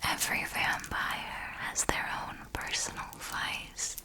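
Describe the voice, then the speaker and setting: Woman playing a slow, whispering vampire mentor. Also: bright, forceful tone. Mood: instructive, composed. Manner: slow, deliberate, intimate, formal phrasing. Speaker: ASMR performer. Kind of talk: whispered ASMR roleplay monologue.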